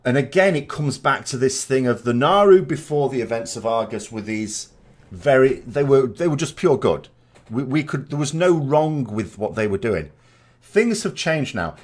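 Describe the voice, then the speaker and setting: Man with lively, swooping delivery. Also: medium pitch, normal speed. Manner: emphatic, explanatory, poses rhetorical questions. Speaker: gaming vodcast host. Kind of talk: unscripted opinion commentary.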